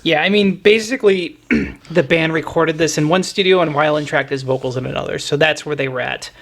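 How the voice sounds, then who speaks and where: Male podcast host, somewhat high-pitched, conversational and explanatory music talk. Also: fairly quick, vocal fry, fairly bright tone. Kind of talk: casual music discussion.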